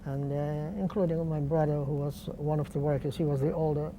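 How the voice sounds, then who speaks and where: An older man from Poland, speaking slowly and deliberately with pauses in an oral history interview. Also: on the high side, fairly even intonation, background noise. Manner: slow, deliberate, halting with pauses.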